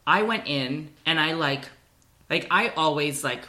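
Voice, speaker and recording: A man's voice: a little high, measured pace, bright, forceful tone. A man, a stage actor guest, in casual conversation, rambling and filling his speech with "like".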